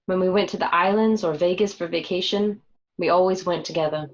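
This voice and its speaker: Actress as low-voiced mobster's wife, candid. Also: female voice, a little fast, lightly acted. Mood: reminiscing. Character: gangster's wife, candid, matter-of-fact, narrating her life. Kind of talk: scripted first-person narration in an audio drama. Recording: dull, lo-fi home recording.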